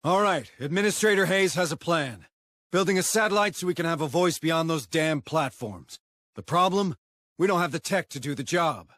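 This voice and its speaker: An actor playing a blunt, no-nonsense military officer giving a mission briefing in a game. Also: male voice, on the high side, lively intonation, normal speed, a bit bright. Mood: businesslike. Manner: blunt, colloquial.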